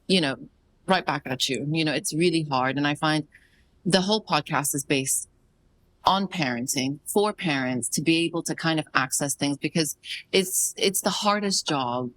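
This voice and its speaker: A woman in her thirties with a lowish voice hosts a relaxed podcast interview. She is effusive and grateful, and she leans on warm praise. Her delivery sounds chatty and friendly. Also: normal speed, no echo, rough recording. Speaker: podcast host. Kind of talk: casual interview.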